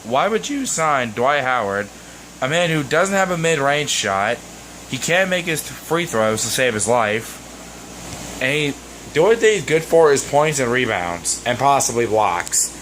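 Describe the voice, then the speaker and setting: Hoarse man ranting on a vlog. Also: mid-range voice, big pitch swings, measured pace, a bit bright, slight background noise, rough recording. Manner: rambling, exasperated, with many fillers. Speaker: sports vlogger.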